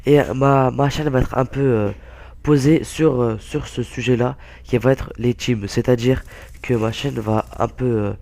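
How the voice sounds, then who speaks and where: Young guy w a cold, stuffy nose, gravelly hoarse voice, chatting about his game, lots of ums. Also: mid-range voice, fairly even intonation, dark tone. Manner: halting, many fillers and restarts. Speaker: gaming YouTuber. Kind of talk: casual gameplay commentary.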